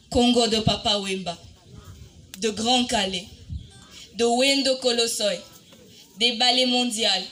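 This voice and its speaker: Slow, declamatory woman reciting poetry. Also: on the high side, sharp tone. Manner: solemn, declamatory, with deliberate pauses and repeated refrains. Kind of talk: dramatic spoken-word poem recitation. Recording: music bed under the voice. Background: music.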